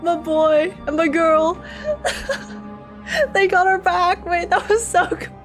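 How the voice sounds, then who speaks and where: A woman's voice: very high voice, steady tone, slow, faint music behind. A woman streamer giving casual, emotional live commentary on a game in loose fannish slang.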